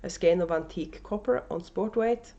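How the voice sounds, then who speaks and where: A woman's voice: fairly low pitch, moderate pace, dark tone. Norwegian woman chatting hesitantly, muffled sound. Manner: hesitant, many fillers and restarts. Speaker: knitting podcast host and yarn dyer. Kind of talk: casual unedited video podcast chat. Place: at home, indoors. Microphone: camera microphone.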